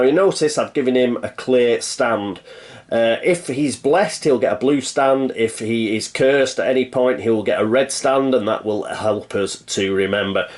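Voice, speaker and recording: A man's voice: mid-range voice, darkish tone. A man with a stuffy nose from a cold, chatting casually through a board game setup and thinking aloud with plenty of fillers. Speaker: board game channel host.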